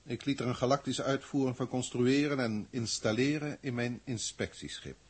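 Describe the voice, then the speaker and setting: An actor in a 1960s science-fiction radio drama playing a formal, grandiloquent alien proctor who speaks deliberately and pompously. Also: male voice, mid-range voice, steady tone, husky, lightly acted. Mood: pleased, grand. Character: authoritative, grandiloquent alien proctor from a galactic federation.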